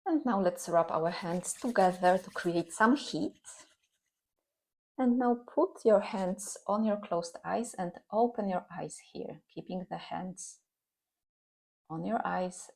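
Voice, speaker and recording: A woman teaching guided meditation and gentle yoga in a calm, soothing, instructional manner. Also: on the low side, takes it slowly, vocal fry.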